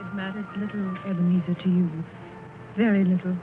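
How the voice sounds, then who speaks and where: A woman playing a young woman ending her engagement in a 1930s radio drama, speaking softly, sorrowful but composed. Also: lowish voice, flat delivery, a little fast, lightly acted. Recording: tinny old radio broadcast with music.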